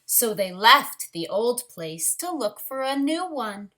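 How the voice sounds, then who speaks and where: A woman teacher leading a read-aloud storytime for young children, speaking deliberately and directly to them. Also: on the high side, very animated, bright, forceful tone.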